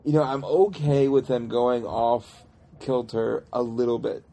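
Male podcast talker, creaky and mellow, casual but insistent, picky about details. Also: mid-range voice, fairly even intonation, a little slow. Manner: emphatic, nitpicking comic-book fan. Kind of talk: casual chat, opinionated film discussion.

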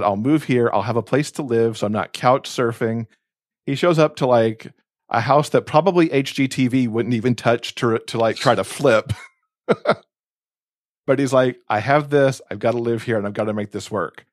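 A man's voice: mid-range voice, normal speed, dry recording. Man gushing casually with a dry, close sound. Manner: effusive, emphatic. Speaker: podcast co-host recommending books. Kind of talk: casual enthusiastic book chat.

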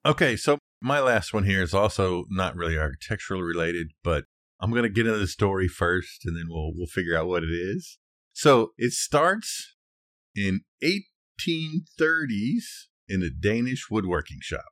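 Man, very low pitch, podcast co-host, informal easygoing storytelling, dry close recording. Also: animated, normal speed, a bit bright, no room sound. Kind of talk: casual conversational storytelling and discussion.

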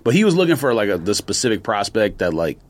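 A man's voice: fairly low pitch, very animated, fast. A man co-hosting a podcast, casually telling stories and teasing his friends. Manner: storytelling, teasing, laughs often. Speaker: podcast co-host. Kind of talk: casual chat.

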